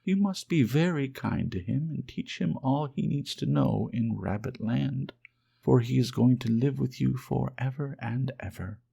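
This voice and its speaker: A man's voice: mid-range voice, measured pace, vocal fry, mellow, husky, pitched above the performer's own voice, full character voice. A man voicing a kindly female nursery fairy from a storybook, tender and soothing. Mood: kindly, encouraging. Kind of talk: storybook character voice.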